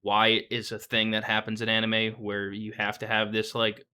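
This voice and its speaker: A man's voice: fairly low pitch, monotone, moderate pace, pushed and bright, clear, resonant tone. A young man co-hosting a casual anime review podcast, blunt and opinionated, swearing often. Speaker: podcast co-host.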